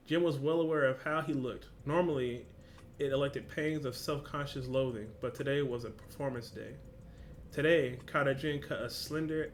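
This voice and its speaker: A man's voice: mid-range voice, slight background noise. A young man hosting a reaction video, reading a story aloud, stumbling over words and laughing at himself.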